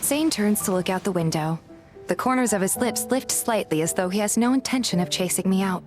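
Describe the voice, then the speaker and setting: A woman playing a curious, polite young game heroine, narrating in the first person and speaking her dialogue in an earnest, gentle manner. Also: mid-range voice, expressive, a little fast, soft background music, close to an everyday voice. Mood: earnest, reflective.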